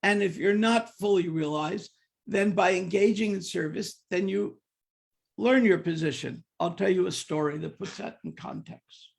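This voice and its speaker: A man's voice: high-pitched, normal speed, gravelly. An older man leading a devotional scripture class, mixing humorous personal stories with questions to the audience and often stumbling over repeated words. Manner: many repeated "and, and" restarts. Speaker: class speaker.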